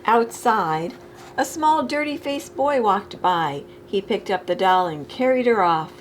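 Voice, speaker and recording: A woman reading a children's picture book aloud expressively, with deliberate phrasing, as a storytime reader. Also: medium pitch, animated, slight background noise.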